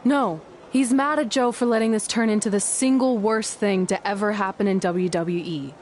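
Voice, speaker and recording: A woman's voice: medium pitch, moderate pace, darkish tone, lightly acted. Woman playing a blunt, exasperated young female wrestler. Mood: matter-of-fact. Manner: blunt, sarcastic, no-nonsense. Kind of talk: scripted dramatic dialogue. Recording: noisy location sound with ambience.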